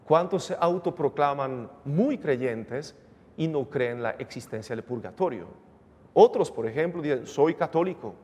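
A man in his forties, a priest giving a measured, earnest sermon at a lectern microphone in a chapel. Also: medium pitch, a little mellow. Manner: measured, earnest preaching with rising emphasis. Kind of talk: sermon at Mass. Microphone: gooseneck lectern microphone. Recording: reverberant chapel sound.

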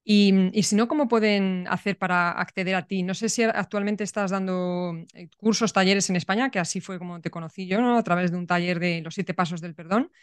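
Smooth-voiced woman hosting a podcast. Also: mid-range voice, steady tone, a little fast, few pauses, dry recording. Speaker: podcast host. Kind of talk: conversational interviewing. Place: home room with bookshelves, indoors. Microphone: close desk microphone.

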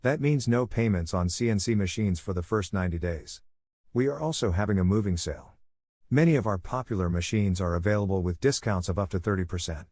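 Low-voiced man reading a dry voice-over. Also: fairly quick, darkish tone, no room sound. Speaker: narrator. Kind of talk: scripted voice-over narration.

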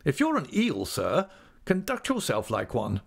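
A man's voice: a little high, sing-song, normal speed, gravelly, husky, voice pushed up, heavily acted. A man voicing a stern older aunt in an audiobook, blunt, curt and scolding. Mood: stern indignation. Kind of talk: audiobook character acting.

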